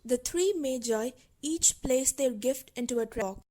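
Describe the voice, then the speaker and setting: A woman's voice: high voice, unhurried, mellow. A woman reading scripted narration and stage directions for a school puppet play.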